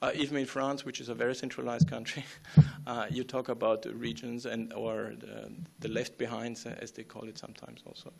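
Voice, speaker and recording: Halting man with a gravelly voice. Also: medium pitch, little pitch movement. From Austria. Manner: halting, many fillers and restarts; frequent throat clearing. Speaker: conference speaker. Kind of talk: conference talk.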